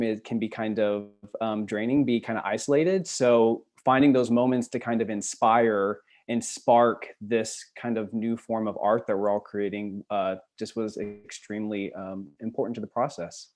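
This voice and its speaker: A man's voice: lowish voice, flat delivery, normal speed, hardly any pauses, a little mellow. A young man explaining his design work on a video call panel in an articulate, earnest way. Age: young adult. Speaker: student scenic designer.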